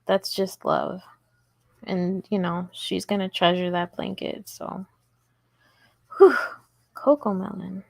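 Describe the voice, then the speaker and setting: Woman, unhurried, chatty and giggly, dry close sound. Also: medium pitch, steady tone, creaky, darkish tone, dry recording. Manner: chatty, giggly, talks to chat while working. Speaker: crochet livestream host. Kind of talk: casual livestream crochet tutorial. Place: indoors at home table.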